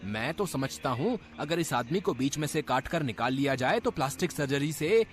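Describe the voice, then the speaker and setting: A man's voice: fairly high pitch, lively intonation, moderate pace, natural voice. A man playing a brash clerk in a cartoon story, explaining things in a glib, cocksure way. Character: brash male clerk with absurd, cocksure ideas. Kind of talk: acted cartoon story dialogue.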